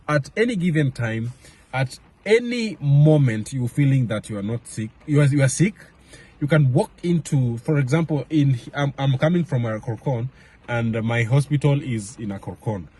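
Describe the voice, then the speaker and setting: A young man from Kenya talks casually to his camera, stopping often to restart his thoughts with plenty of fillers. His voice has a husky, slightly breathy texture at an average pitch. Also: warm tone. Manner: halting, many fillers and restarts. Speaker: vlogger. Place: home in Madrid area.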